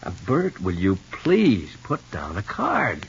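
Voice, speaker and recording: An actor playing a dry, impatient but obliging husband in a 1950s radio soap, with a wry muttering manner. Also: male voice, on the low side, wildly swooping pitch, slow pace, full character voice. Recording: dull, hissy old radio recording.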